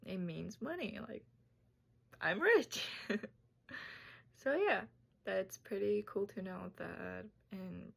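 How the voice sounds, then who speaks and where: Young woman, slow and thoughtful, a bit gravelly. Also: medium pitch, lively intonation, a bit bright. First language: Tajik. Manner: unhurried, thoughtful, slightly halting. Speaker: student. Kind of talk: casual class presentation video.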